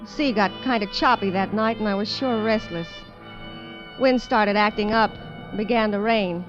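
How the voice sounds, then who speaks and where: A woman's voice: medium pitch, normal speed, natural voice. Actress as a weary castaway woman, old radio drama. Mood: grave, narrating. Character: weary, candid female dancer adrift on a derelict ship. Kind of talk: 1950s radio drama acting and first-person narration. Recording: dull vintage radio, music behind.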